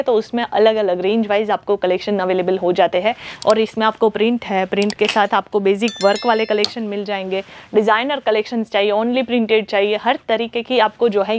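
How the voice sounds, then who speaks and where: Young female shop host, a touch high-pitched, brisk and salesy, speaking to camera on a clip-on mic. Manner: upbeat, repetitive salesy patter. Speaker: shop host presenting clothing. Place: large clothing store, indoors.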